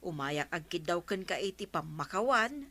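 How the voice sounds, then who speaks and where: A woman acting in a Filipino radio comedy as a long-suffering, scolding village woman with an Ilocano accent and plaintive, drawn-out exclamations. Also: lowish voice, animated, sharp tone. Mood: rueful, subdued.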